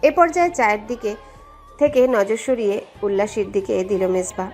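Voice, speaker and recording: A woman's voice: mid-range voice, normal speed, darkish tone. A woman narrating a scripted story in a dramatic storytelling style. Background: background music.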